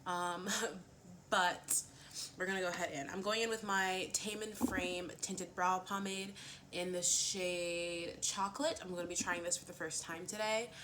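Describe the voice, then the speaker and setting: A woman's voice: on the low side, fairly even intonation, measured pace, background noise. A woman vlogging a casual get-ready-with-me chat, a little sniffly, full of fillers like "um" and "like". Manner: chatty, lots of fillers and "like". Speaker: beauty vlogger.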